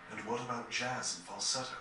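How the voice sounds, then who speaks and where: A man voicing a gentle, composed, courteous gentleman in a fantasy video game, measured and formal. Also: medium pitch, over music, natural voice. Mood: grave, concerned. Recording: echoey, distant game capture with noise.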